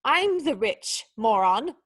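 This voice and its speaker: An actress playing a proud young noblewoman in a radio play, sharp-tongued, wounded and indignant, with cutting, articulate speech. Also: on the high side, sing-song, slow, slightly rough, full character voice. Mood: scornful, indignant.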